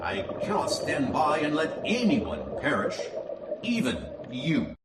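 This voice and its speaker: Man playing a noble, slow-spoken thunder god hero. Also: a little high, wildly swooping pitch, over loud music, exaggerated voice. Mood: resolute. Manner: declamatory, formal, heroic. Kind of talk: comic book dub.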